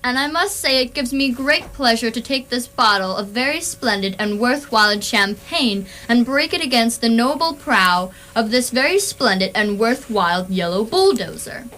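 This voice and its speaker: A haughty lady dignitary as a woman performs her in a radio play. She speechifies in a very high voice, grandiose and patronizing, talking down to ordinary villagers. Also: lively intonation, moderate pace, few pauses, a bit bright, low-quality recording. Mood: pompous, condescending. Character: pompous, condescending upper-class lady dignitary making speech. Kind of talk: amateur radio theatre acting, ceremonial speech.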